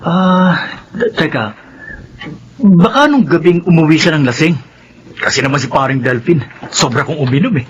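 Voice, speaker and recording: Hesitant family friend, dull audio. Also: male voice, on the high side, animated, moderate pace, pauses often, slightly rough. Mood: hesitant concern. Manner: hesitant, apologetic. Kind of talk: radio drama acting. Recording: dull, slightly noisy.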